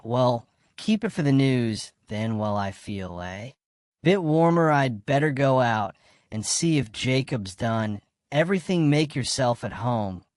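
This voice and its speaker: A man's voice: medium pitch, animated, unhurried, a little mellow, no echo, studio quality, lightly acted. A man playing a hospitable, plain-spoken older farmer father in a radio drama. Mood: bluff, welcoming.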